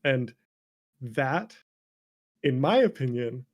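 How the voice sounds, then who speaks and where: A man speaking as a game developer guest in a casual conversation, candid and animated, and quick to laugh. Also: mid-range voice.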